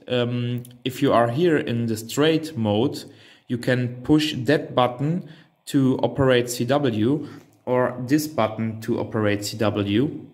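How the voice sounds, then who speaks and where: Male hobby reviewer, native German speaker, unhurried and hesitant, talking through a device step by step. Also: medium pitch, darkish tone. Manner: hesitant, thinking aloud. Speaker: reviewer demonstrating a radio transceiver. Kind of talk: unscripted hands-on product walkthrough.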